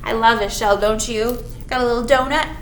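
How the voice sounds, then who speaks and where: High-pitched, animated children's storytime woman. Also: a little fast. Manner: playful, exaggerated, talks to small children. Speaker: children's librarian leading storytime. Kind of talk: animated storytime read-aloud with asides to young children. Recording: roomy echo with background noise.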